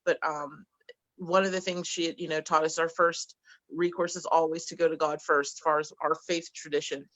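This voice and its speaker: A woman's voice: low-pitched, flat delivery, normal speed, a bit bright, dry recording. A woman hosting a panel discussion on a video call, chatty and encouraging, with frequent fillers. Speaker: host.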